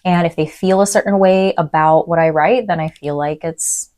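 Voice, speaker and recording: Soft-spoken woman lecturer, thoughtful. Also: on the low side, fairly even intonation, moderate pace. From East Africa. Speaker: university lecturer. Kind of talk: podcast interview.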